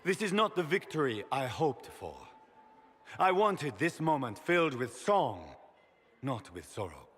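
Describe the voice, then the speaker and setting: An actor playing a proud, battle-hardened new emperor giving a grand, solemn, formal speech in a fantasy video game. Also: male voice, mid-range voice, sing-song, a little slow, fairly bright tone, breathy edge, heavily acted. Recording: long hall reverb, music underneath.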